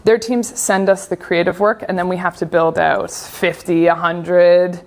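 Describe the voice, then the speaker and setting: A woman's voice: fairly low pitch, flat delivery, a little slow, a little mellow. A young woman with a Canadian accent giving a casual, chatty conference talk on design. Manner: casual, chatty with frequent fillers. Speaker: conference speaker.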